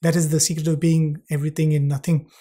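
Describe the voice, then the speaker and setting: A man tells a devotional story in a relaxed conversational way. He checks in with his partner using tag questions like "right?" and adds touches of gentle humour as he goes. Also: fairly high pitch, fast talker. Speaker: satsang co-host.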